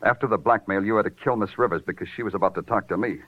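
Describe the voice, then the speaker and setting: An actor playing a sardonic, hard-boiled police lieutenant in a noir radio drama, terse and wry. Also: male voice, lowish voice, rapid-fire, slightly rough, dry recording, phone-quality sound. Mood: tough, insistent. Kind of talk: 1940s radio noir acting. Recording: old band-limited radio recording with music.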